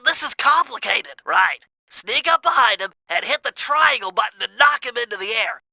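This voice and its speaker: Nerdy turtle strategist, piercingly bright, creaky edge, nasal, precise, earnest, video game tutorial, dry close recording. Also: male voice, very high voice, no echo, heavily acted, low-quality recording. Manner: precise, instructional, wordy and technical. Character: brainy nerdy turtle mission planner, male, earnest and fussy.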